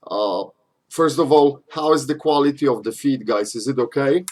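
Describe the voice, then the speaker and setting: A man's voice: medium pitch, normal speed, darkish tone. A man from Poland, hosting a casual live-stream Q&A and answering chat questions in a loose, chatty way full of fillers. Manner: loose and chatty, frequent "uh" and "you know", slightly non-native phrasing.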